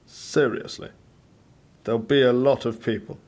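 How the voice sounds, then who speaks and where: An actor playing a wary, sardonic party leader in an audio comedy, dry, hesitant and incredulous. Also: male voice, mid-range voice, steady tone, moderate pace, a little mellow, lightly acted. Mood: sceptical, dry. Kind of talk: audio comedy drama acting.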